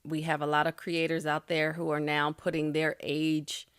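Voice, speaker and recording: A middle-aged woman talks through creator tips in an easygoing, chatty way, laughing lightly and restarting her sentences as she goes. Her voice sits a little low, and the recording is dry with no room sound. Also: monotone, normal speed, clear, resonant tone, no echo. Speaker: YouTube creator giving tips. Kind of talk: casual spoken tutorial.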